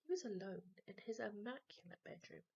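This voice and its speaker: A woman narrating a children's story expressively, with emphatic delivery and dramatic pauses. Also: medium pitch, animated, gravelly, a little mellow, slightly rough, highs cut off.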